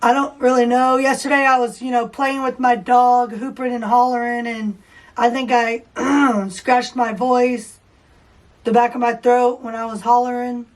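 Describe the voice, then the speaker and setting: A woman with a hoarse, high voice hosts a casual podcast. She talks slowly and rambles with fillers and restarts. Her voice is picked up from a few feet back from the microphone. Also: level tone. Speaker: podcast host. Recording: voice distant from the mic.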